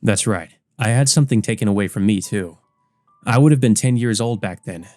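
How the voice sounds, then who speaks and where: A man voicing an earnest, resolute teenage boy from a visual novel, measured and determined. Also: on the low side, a little mellow, no echo, studio quality, soft background music, close to an everyday voice. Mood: brooding. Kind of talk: visual novel character reading.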